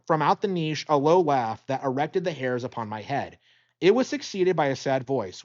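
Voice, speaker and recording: A man with a slightly high voice narrates a gothic audiobook. His delivery is dramatic and very varied in pitch. The recording sounds a bit dull.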